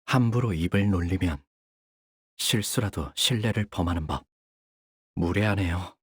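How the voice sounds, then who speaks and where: Young syndicate soldier, widely swinging intonation. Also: male voice, lowish voice, breathy edge, dry recording, clean studio sound. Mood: cold, stern. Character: young male syndicate soldier, dutiful, polite, coldly menacing. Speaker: game character voice actor. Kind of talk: acted character voice lines.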